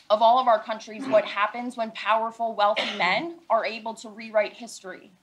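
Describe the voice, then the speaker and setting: Emphatic American woman, higher-pitched voice. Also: fairly bright tone, poor audio. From Philadelphia, United States. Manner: emphatic, deliberate, repeats points in short phrases. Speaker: lawyer representing clients at a news conference. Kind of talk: prepared public statement at a press conference. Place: news conference room.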